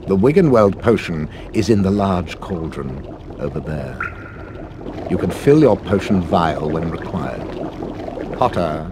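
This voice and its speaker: A cold, disdainful schoolmaster from a video game. The voice is very deep and deliberate, sneering at pupils, with clear noise behind it. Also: male voice, mellow. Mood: cold, instructive. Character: cold, sneering male potions master. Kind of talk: video game cutscene acting.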